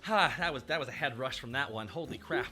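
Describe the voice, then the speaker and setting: A man's voice: mid-range voice, expressive, fairly quick, loud music behind the voice. A man streaming as a VTuber, bantering casually with a co-host and slipping into silly accent impressions.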